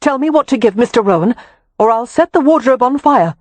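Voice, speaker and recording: A narrator voicing a calm, resolute young woman making a threat. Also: mid-range voice, brisk, dry recording, pitched above the performer's own voice, natural voice. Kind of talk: audiobook character voice.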